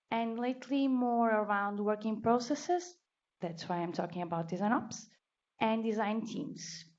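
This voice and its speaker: A woman from Portugal with a Portuguese accent, giving a talk at a meetup in a careful, deliberate way with restarts. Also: medium pitch, darkish tone. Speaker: meetup speaker. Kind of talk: conference talk. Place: meetup venue. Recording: dull lo-fi recording.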